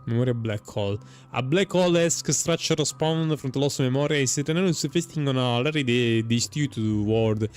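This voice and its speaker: A man's voice: mid-range voice, moderate pace, clear, resonant tone. A man giving casual, hesitant gameplay commentary, musing and reading game text aloud. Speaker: gamer playing and commenting. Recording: faint game music behind.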